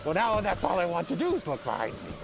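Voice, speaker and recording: A man's voice: high-pitched, huge pitch swings, fast talker, noisy background. A man co-hosting with comic banter as he presents a show. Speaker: show co-host.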